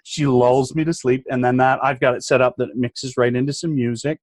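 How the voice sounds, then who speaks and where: A man's voice: mid-range voice, steady tone, a little fast, darkish tone, dry recording, studio quality. A middle-aged man, a coach guesting on a podcast, speaking emphatically and motivationally in punchy lists. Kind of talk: podcast interview.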